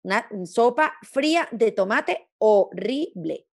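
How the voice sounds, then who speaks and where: A woman from Venezuela speaks in a fairly high voice with noticeable fry. Her Latin American accent is hard to place. She talks as a guest in a reflective, conversational interview, with an expressive way of putting things. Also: slow pace. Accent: Spanish of mixed Latin American sound, hard to place. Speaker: interview guest, gastronomy journalist.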